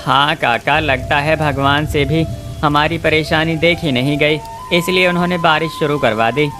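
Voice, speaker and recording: A man voicing an excited, devout village husband in a cartoon, exclamatory and hopeful. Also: a little high, normal speed, soft background music. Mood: grateful.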